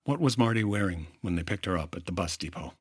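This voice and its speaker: A man playing a dry, world-weary hardboiled insurance investigator in the manner of 1950s radio drama, deadpan and wry. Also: sits low, fast, husky, no echo. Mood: intent, serious. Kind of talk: 1950s radio detective acting.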